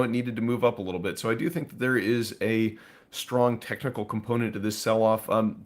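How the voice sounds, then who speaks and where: A man with a hoarse, raspy voice hosting a live stream, talking through market news casually to viewers. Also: fairly low pitch. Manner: conversational, with frequent fillers and asides to viewers. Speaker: host of a precious metals live stream.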